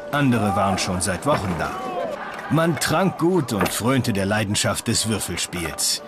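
A man playing a dignified medieval knight, recounting his travels in measured, formal, old-fashioned words. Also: lowish voice, natural voice. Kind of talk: first-person dramatised narration in a documentary. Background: music.

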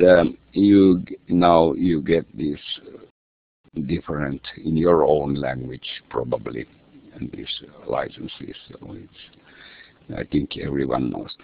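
A man's voice: a bass voice, gravelly, no room sound. A man from Finland, a native Swedish speaker with a Finland Swedish accent, explaining his course materials in a hesitant way full of fillers and restarts. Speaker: language course creator. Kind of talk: screen-share lesson walkthrough. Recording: tinny phone-like line, dry.

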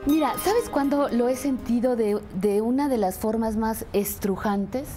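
A middle-aged woman with a Mexican accent speaking reflectively in an interview, deliberate and expressive, pausing for emphasis. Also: on the high side, over music. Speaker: radio presenter. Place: furnished indoor sitting room. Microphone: lapel mic.

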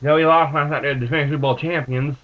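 A man's voice: fairly high pitch, steady tone. Stuffed-up young man on a muffled webcam. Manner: rambling, halting, laid-back. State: stuffed up from allergies. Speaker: vlogger reviewing dip tobacco. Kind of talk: casual webcam vlog. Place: small room with bookshelves, indoors. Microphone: built-in webcam mic.

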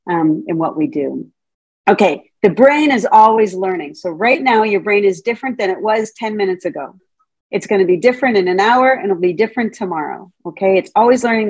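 A middle-aged woman speaks over a video call from a room at home, her voice a little husky and recorded dry and close. She lectures in a chatty, playful way, asking her listeners questions as she goes, at an everyday pitch. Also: darkish tone, dry recording, poor audio. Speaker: Montessori teacher trainer giving a talk. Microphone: video call webcam.

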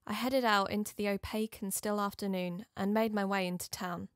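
Female investigator-narrator played by an actress: uneasy, confiding, scripted first-person drama, mid pitch, close dry recording. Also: fairly even intonation, fairly quick, dry recording, lightly acted. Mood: uneasy, reflective.

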